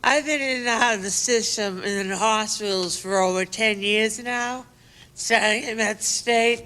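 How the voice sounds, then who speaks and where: A woman's voice: mid-range voice, pushed and bright. A woman speaking from the audience at a public talk, plain and matter-of-fact with a few slips. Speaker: audience member.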